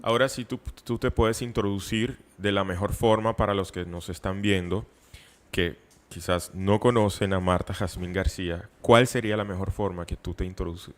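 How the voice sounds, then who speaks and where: A man, a Papiamento speaker, hosting a conversational interview in a wordy, halting way. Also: low-pitched. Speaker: talk show host.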